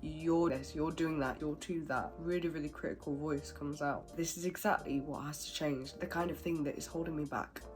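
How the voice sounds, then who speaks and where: Low, nasal-sounding woman with a cold, candid and self-critical, soft music underneath. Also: steady tone, normal speed, hardly any pauses. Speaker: vlogger. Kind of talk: reflective personal monologue.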